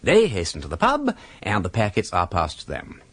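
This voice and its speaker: An actor playing a witty, urbane gentleman detective with an upper-class English accent, explaining things theatrically in a radio drama. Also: on the low side, wildly swooping pitch, normal speed, slightly rough, exaggerated voice. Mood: keen, expository. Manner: theatrical, emphatic, didactic, drawling. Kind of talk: British radio drama acting.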